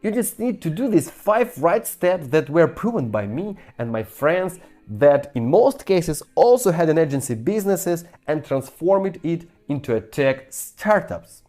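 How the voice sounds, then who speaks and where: A woman's voice: sits low, big pitch swings, measured pace, hardly any pauses, warm tone, soft background music. A woman with a Ukrainian accent giving a scripted business master-class, speaking emphatically and persuasively straight to the listener. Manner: emphatic, persuasive, addressing the viewer directly. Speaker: startup founder, business course presenter.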